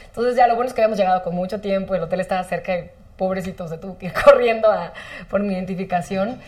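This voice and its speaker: A woman's voice: mid-range voice, quick pace. A woman, a talk show guest, telling a funny story in casual chat and acting out the lines of the people in it. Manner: animated storyteller, acts out quoted lines.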